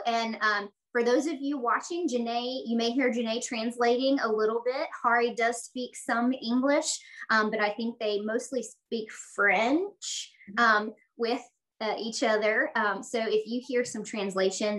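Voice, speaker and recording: A woman's voice: a little high, fairly bright tone, poor audio. Thirties woman host, friendly and chatty with ums, on a video call from an office. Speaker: church missions host. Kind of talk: interview introduction. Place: office room.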